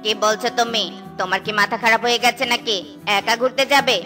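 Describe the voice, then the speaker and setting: A woman's voice: on the high side, fairly even intonation, fast talker, pushed and bright, soft background music. A woman voicing an earnest, cautious cloud who is easily offended in a children's cartoon. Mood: worried, pleading.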